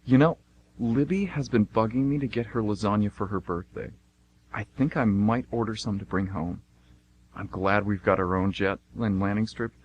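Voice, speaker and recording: A man's voice: fairly low pitch, normal speed, slight background noise, natural voice, rough recording. A man playing an easygoing, chatty, naive American tourist in an amateur radio play, talking informally. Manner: informal, breezy.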